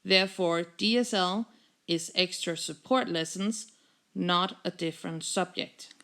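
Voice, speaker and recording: A woman narrates a school slideshow, speaking English as an in a bright, clear tone. She explains things in a steady, orderly way, like a teacher walking through each slide in turn. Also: fairly low pitch, a little slow.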